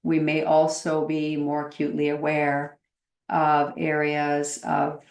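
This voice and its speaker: Slow, low-voiced woman guiding meditation. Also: monotone, vocal fry, echoey room. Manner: gentle, unhurried, with long pauses. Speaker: meditation teacher. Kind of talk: guided meditation.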